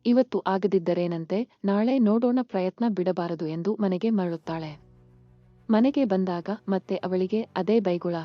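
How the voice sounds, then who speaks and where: A woman's voice: medium pitch, normal speed, no room sound. Slightly muffled woman narrating a children's story. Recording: muffled lo-fi sound. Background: faint music.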